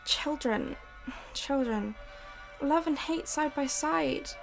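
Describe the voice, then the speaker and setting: Young female narrator, brooding delivery, high voice with fry. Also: faint music behind, low-quality recording. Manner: measured, brooding storytelling. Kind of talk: scripted dramatic narration.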